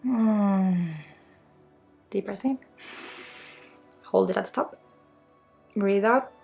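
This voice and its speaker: A woman with a Swedish accent guides an ASMR energy healing, soft-spoken and reassuring as a spiritual guide. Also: medium pitch, drawn-out words, gravelly. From Sweden. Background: music.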